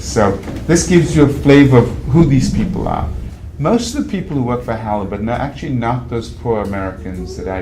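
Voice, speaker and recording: A man speaking on a panel, conversational and anecdotal, often restarting his sentences. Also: fairly low pitch. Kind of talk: panel talk. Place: event venue. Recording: noisy live event recording.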